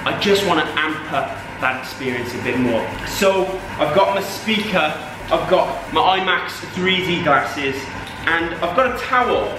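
Young guy doing a deadpan gym bag vlog, kinda high voice, echoey noisy gym. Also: measured pace. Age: young adult. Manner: mock-serious, dry delivery. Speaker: fitness vlogger. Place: large indoor gym. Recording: echoey gym with loud noise.

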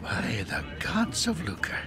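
An actor playing a jittery, scheming old informant, nervous and stammering, with a creaky voice, in an audio drama. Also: male voice, medium pitch, huge pitch swings, takes it slowly. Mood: wry scheming.

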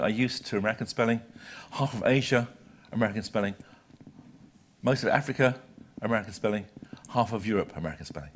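A man in his sixties with a British accent lectures live to an audience. His pitch sits in a middle range, and he talks conversationally, stressing points in short clipped phrases. Faint noise lies behind the voice. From Britain. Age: 60s. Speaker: lecturer, journal publisher. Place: lecture hall, speaking from a podium. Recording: live talk with audible background noise.

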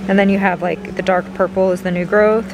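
Woman, medium pitch, casual vlog commentary, appreciative browsing murmur, handheld camera audio, noticeable ventilation noise in a big store. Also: flat delivery, fairly quick. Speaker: vlogger, host. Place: large indoor garden center of a store. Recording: clear store background noise, ventilation hum.

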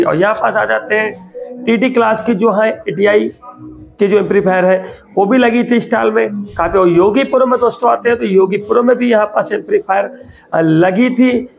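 High-pitched man with a creaky voice. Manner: repetitive, rambling, addressing viewers as friends. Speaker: vlogger. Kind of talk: casual product showcase voice-over.

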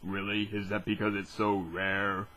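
A man's voice: on the low side, level tone, measured pace, sharp tone, dull audio, a little hiss or hum. A man voicing a confident, sarcastic teenage card duelist with a grown-up voice in a parody anime dub. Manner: bold, sarcastic.

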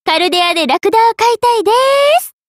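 A woman's voice: extremely high pitch, fast, slight background noise. A woman voicing a playful, money-loving young half-spirit merchant sorceress in an anime game, with a teasing, polite lilt. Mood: cheerful, businesslike. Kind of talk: Japanese anime game character voice acting.

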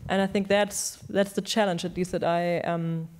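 A woman's voice: lowish voice, level tone, normal speed, darkish tone, slight background noise. A young woman speaking on a panel discussion, into a handheld mic on a stage, thoughtful and searching for words. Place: large stage in a hall.

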